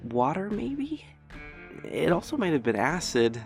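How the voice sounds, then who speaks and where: Man acting a nervous companion, lively over guitar music. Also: fairly high pitch, unhurried, full character voice. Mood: worried. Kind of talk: audio roleplay drama acting. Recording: plucked guitar music behind voice.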